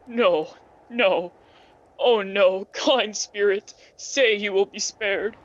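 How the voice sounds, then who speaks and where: A woman's voice: mid-range voice, takes it slowly, muffled recording, lightly acted. A teenage woman acting a fearful, pleading old man in a radio play, anxious and formal.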